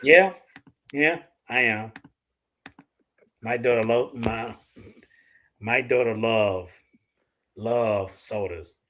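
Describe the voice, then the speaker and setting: A man's voice: mid-range voice, extremely slow, pauses often, bright, forceful tone, a little hiss or hum. A middle-aged man from the Dominican Republic with a Dominican accent, hosting a casual live chat, talking bluntly and swearing freely. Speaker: livestream host.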